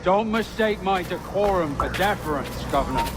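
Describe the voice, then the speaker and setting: Stern, high-pitched pirate captain. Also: male voice, lively intonation, moderate pace, over music. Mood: guarded. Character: proud, disapproving middle-aged male pirate captain. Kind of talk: video game cutscene acting.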